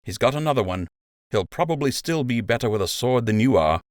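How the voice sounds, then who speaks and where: A man's voice: mid-range voice, animated, a little fast, darkish tone, no room sound, clean studio sound, voice pushed up, natural voice. A man voicing a firm, matter-of-fact male rabbit officer in an audiobook, blunt and steadying. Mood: reassuring.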